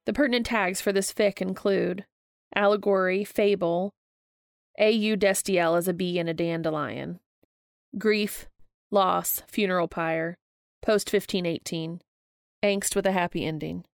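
Woman reading aloud, slightly creaky voice. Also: on the low side, steady tone, measured pace, no room sound, clean studio sound. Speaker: podcast host and fan fiction reader. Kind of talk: scripted storybook narration.

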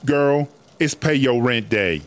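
A man's voice: mid-range voice, takes it slowly. A man playing a brash, vain, bossy female prison inmate in a comedic audio drama, with sassy, slangy talk. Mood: bossy, pressing. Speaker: interviewed inmate character.

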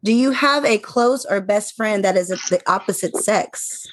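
A woman's voice: mid-range voice, normal speed, no echo. Brief: woman, 40s, panel host, teasing, close dry sound. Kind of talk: hosting a panel chat.

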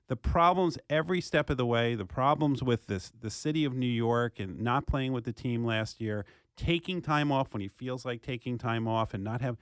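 A man's voice: mid-range voice, no echo, studio quality. Emphatic, argumentative man on sports talk. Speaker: sports talk show co-host. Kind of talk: opinionated sports debate.

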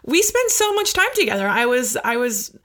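A woman's voice: on the high side, sing-song, normal speed, fairly bright tone. A woman, a host and former coach, talking casually and supportively, rambling with lots of fillers and restarts. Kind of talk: casual conversation.

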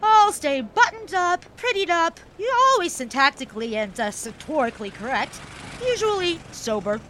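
A woman playing an effervescent young upper-crust socialite of the 1920s in an audio drama, genteel, wordy and halting. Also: high voice, very animated, pushed and bright, a little hiss or hum, exaggerated voice. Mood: wistful. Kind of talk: period audio drama acting.

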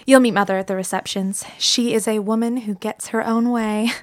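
A woman's voice: fairly high pitch, steady tone, moderate pace, no room sound, close to an everyday voice. A woman playing a genteel, kindly bride-to-be and office confidante in a period drama, chatty with hesitant asides. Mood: happy, gossipy.

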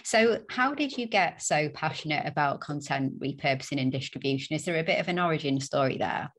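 A woman podcast host with a British accent and a fairly low voice. She reads a tidy scripted intro, then chats with her guest in an upbeat way, often ending on tag questions. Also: lively intonation, brisk. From Canada, raised in the UK.

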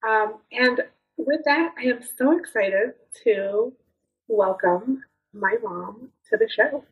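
A woman host gives the show's opening announcements in an upbeat voice. Her voice is higher than most and very husky. The sound is thin and tinny, like a phone line. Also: takes it slowly, soft background music. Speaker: podcast host. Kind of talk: show introduction and announcements.

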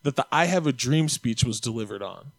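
A man's voice: mid-range voice, expressive, vocal fry, no echo. A man hosting a comedy podcast, riffing and cursing casually with his co-hosts. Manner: joking, profane, quick riffs.

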